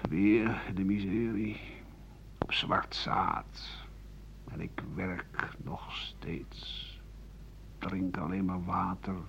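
A man playing a weary, embittered writer of 67 in a radio play, grumbling in halting phrases that trail into breathy murmurs. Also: low voice, heavily acted. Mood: bitter, weary. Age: older. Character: embittered, weary 67-year-old French writer and doctor, pessimistic. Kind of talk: radio play monologue as interview answers. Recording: dull, hissy 1980 radio recording.

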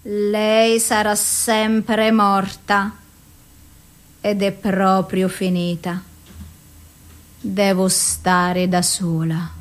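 A woman acting an anguished, mentally ill, lovelorn woman in a theatrical dramatic monologue, with an intense, deliberate delivery. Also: medium pitch, level tone. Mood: brooding grief.

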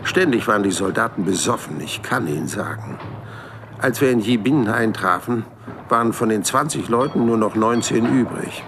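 A very old, easygoing adventurer telling his life story in an audio drama. The voice is low and gravelly, and it moves up and down animatedly as he wryly reminisces. Faint ambient noise sits behind him. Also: expressive. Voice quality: gravelly, creaky. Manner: wry, unhurried, colloquial storytelling. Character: easygoing hundred-year-old Swedish man reminiscing about his adventures. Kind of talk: first-person audio drama narration.

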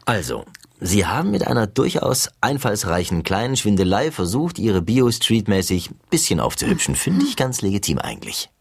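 A man playing a wry, hip interviewer of writers, casual and slangy with a smooth condescension. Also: on the low side, huge pitch swings, normal speed, no room sound, full character voice.

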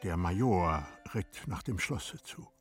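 A man narrating a literary radio drama in a deliberate, formal storytelling manner. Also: low voice, lively intonation, husky, soft background music.

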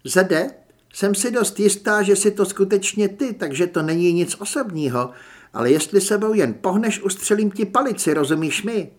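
A hard-bitten woman hunter from an audiobook, performed by a man. The voice is lifted and husky, with a blunt, controlled delivery that stays cool and threatening. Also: sits high, sing-song, voice pushed up. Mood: calm menace.

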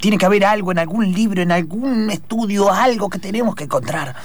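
A man with an Argentine accent playing an excitable, anxious investigator who stammers and repeats himself. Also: high voice, fairly quick. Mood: worried, earnest.

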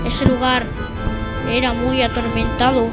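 High-pitched female storyteller, slow and halting. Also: completely flat delivery. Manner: halting, repeats and corrects phrases as improvising. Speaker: student storyteller in a radio theatre workshop. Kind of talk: improvised spoken horror story narration. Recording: loud music under the voice. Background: loud music.

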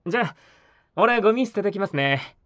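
A woman voicing a polite, mild-mannered young male neighbour in an audio drama, speaking softly and conversationally to the listener. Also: mid-range voice, lively intonation, fairly quick, no echo, clean studio sound, natural voice. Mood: casual, unbothered. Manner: polite, soft-spoken, conversational. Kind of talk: Japanese audio drama acting, spoken to the listener.

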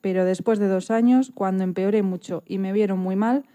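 A woman with a soft, mellow voice at medium pitch reads as an ailing bird looking back on her life. The delivery is a scripted radio-story reading. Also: steady tone, normal speed. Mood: wistful. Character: sick female bird recalling her last years. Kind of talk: scripted first-person dramatized reading.

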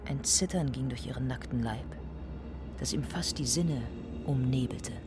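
A narrator reading literary scenes in a radio play, with music behind the voice. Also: male voice, mid-range voice, measured pace.

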